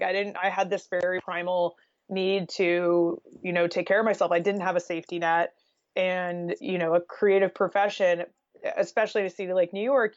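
A woman's voice: lowish voice, dry recording, highs cut off. An American woman telling stories casually as an interview guest, chatty and sprinkled with "like". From Oregon, United States.

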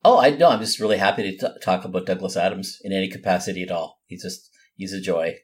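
A man's voice: sits low, fast talker, husky. A man chatting casually as a co-host on a podcast. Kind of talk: casual chat.

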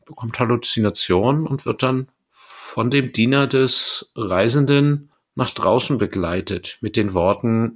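A man's voice: medium pitch, breathy edge. A man, a podcast co-host recapping a story in casual, flippant everyday language. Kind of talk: casual chat recapping an audio drama.

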